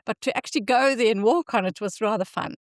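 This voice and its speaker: Older Zimbabwean woman, lively host. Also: on the high side, no room sound, studio quality. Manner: enthusiastic, quick to laugh. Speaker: podcast host. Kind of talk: casual interview chat.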